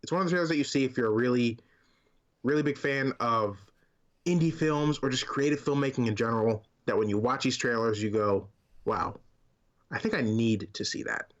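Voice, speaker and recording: A man's voice: medium pitch, brisk, muffled recording. A man co-hosting a podcast, chatting casually about movies in an enthusiastic, informal and emphatic way. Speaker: podcast co-host.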